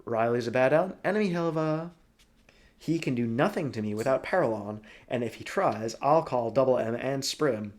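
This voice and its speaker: A man's voice: mid-range voice, moderate pace, lightly acted. A man voicing a proud, determined woman, the brain of a starship, in a storybook reading with a firm, insistent manner. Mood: urgent.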